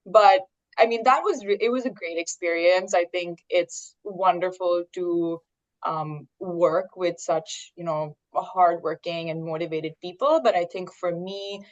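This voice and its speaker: A young woman from Pakistan, a guest on a video call, speaking in a measured way with some fillers. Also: on the low side, fairly even intonation, highs cut off. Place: living room with leather sofa.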